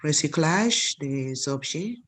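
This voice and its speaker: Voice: medium pitch, lively intonation, slow pace, a bit bright. A teacher in a casual video meeting who chimes in with short remarks and comments. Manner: short interjections and backchannels.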